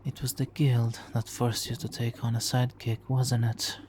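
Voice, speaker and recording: A man playing a smooth-talking supervillain nemesis in an ASMR roleplay, hushed, soothing and coaxing as he hypnotically seduces a captive hero. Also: fairly low pitch, noisy background, close to an everyday voice. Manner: slow, hushed, soothing, coaxing with soft chuckles. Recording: water trickling behind the voice.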